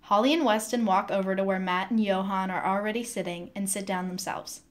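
Woman, average pitch, scripted narration, stage-direction reader. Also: fairly even intonation, brisk.

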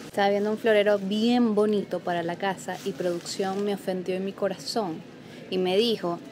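A woman's voice: medium pitch, steady tone, normal speed, a crowd in the background. A woman in her late twenties from Venezuela, speaking with a Venezuelan accent in a playful, teasing vlog chat to a handheld camera. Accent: Venezuelan Spanish. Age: late 20s. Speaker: vlogger. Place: shop aisles, then a small living room. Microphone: handheld camera at arm's length.

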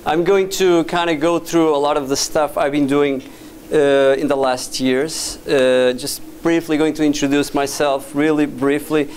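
A man from Portugal in his thirties giving a casual, halting presentation in a lecture hall, full of fillers and restarts, recorded from a camera at the back of the room. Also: on the high side, flat delivery, darkish tone, slight background noise. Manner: hesitant, many fillers and restarts. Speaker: guest speaker, VJ and doctoral student. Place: dim lecture hall with projector screen. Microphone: far camera at back of room.

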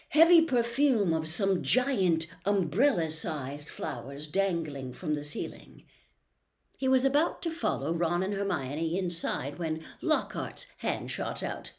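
An older woman reading a story aloud as an expressive narrator. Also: lowish voice. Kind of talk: expressive audiobook-style narration. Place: small furnished room indoors.

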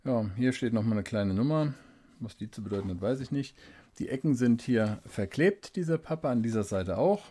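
A man with a dark, mellow voice talks through an unboxing at his desk, close to a studio microphone. He thinks aloud at an unhurried pace and drops small fillers as he handles the package. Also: mid-range voice, animated. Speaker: fountain pen reviewer. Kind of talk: casual unboxing commentary. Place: indoors at a desk, hands-only camera.

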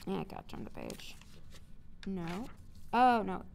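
A woman's voice: mid-range voice, huge pitch swings, unhurried, vocal fry, background noise. A woman voice actor reading scripted audio drama narration, with casual chat between takes.

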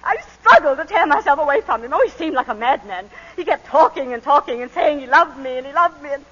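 An actress playing a composed planter's wife recounting a shooting, in 1940s radio acting. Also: female voice, high voice, expressive, quick pace, a bit bright. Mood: agitated. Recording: muffled 1940s radio broadcast.

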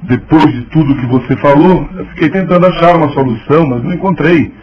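An actor playing an evasive, gently teasing journalist in a radio soap. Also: male voice, fairly high pitch, quick pace, lightly acted. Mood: confiding. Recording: dull lo-fi sound.

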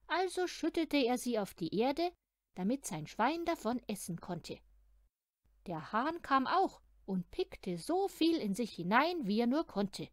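A woman reads a children's story aloud in an expressive storytelling style. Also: on the high side, dry recording, clean studio sound. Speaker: audiobook reader of children's stories.

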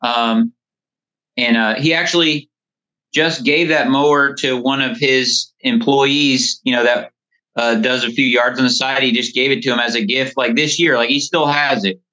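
A man in his thirties with a bright, forward voice and a middling pitch. He talks casually and ramblingly, like a guest sharing stories, over a dull phone connection. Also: steady tone, normal speed. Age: 30s. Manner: rambling, frequent "you know". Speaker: podcast guest, lawn care business owner. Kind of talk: casual interview storytelling. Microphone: phone call. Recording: dull, band-limited phone call.

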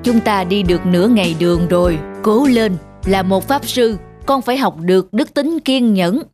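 A woman voicing a patient, stern bear wizard teacher in a cartoon, instructive and measured. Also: medium pitch, a little mellow, soft background music, close to an everyday voice. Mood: admonishing. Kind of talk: cartoon fable voice acting.

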